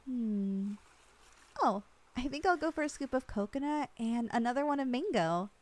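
Young woman, slightly higher voice, ASMR girlfriend roleplay; soft, affectionate, lightly teasing. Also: lively intonation, moderate pace. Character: loving long-distance girlfriend, young woman, teasing and tender.

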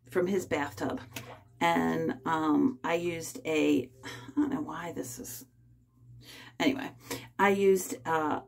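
A woman in her fifties vlogging from her bathtub, talking in a rambling, halting way with many fillers. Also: low voice, vocal fry, a little mellow. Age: 50s. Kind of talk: casual personal vlog. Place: small bathroom, in a bathtub. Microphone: phone held close.

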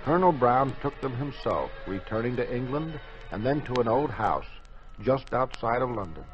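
Old radio host guy, dramatic narration, low voice, muffled recording, music behind. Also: animated, normal speed.

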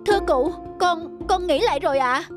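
Humble young farmhand for cartoon folktale female performer: high voice, polite, hesitant, music underneath. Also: normal speed. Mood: earnest. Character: earnest, humble young peasant man, wistful and polite. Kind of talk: cartoon fairy-tale dialogue.

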